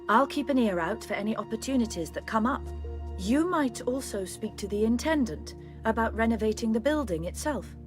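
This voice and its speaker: An actress playing a composed, businesslike steward in a video game, matter-of-fact and formal. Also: female voice, on the high side, lively intonation, a little fast, over music, natural voice. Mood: composed, helpful. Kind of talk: video game cutscene acting.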